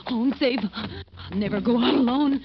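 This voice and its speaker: An actress playing a terrified woman walking home alone at night, talking to herself in breathy, halting old-time radio horror style. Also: a little high, lo-fi sound. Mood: pleading, frightened. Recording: noisy, band-limited vintage radio recording.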